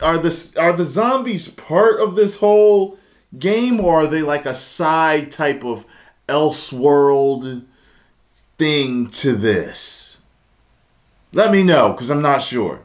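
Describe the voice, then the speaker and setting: A man in his thirties giving casual reaction commentary on a game trailer as host, recorded indoors at home. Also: mid-range voice. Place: small indoor room at home.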